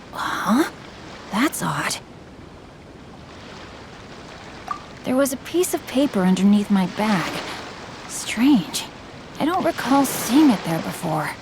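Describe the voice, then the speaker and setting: A woman's voice: mid-range voice, sing-song, takes it slowly, pauses often, noisy background. A woman playing a polite, bookish teenage young master in a video game, with courteous, eloquent and slightly formal speech. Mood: composed, puzzled. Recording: background music under the voice.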